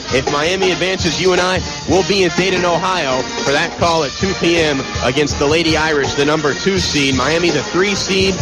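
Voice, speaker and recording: A male American radio announcer delivers live basketball play-by-play with a fairly high-pitched voice. His delivery is energetic and detailed, ready to get excitable on big plays. Clear background sound from the arena broadcast sits behind him. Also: rough recording.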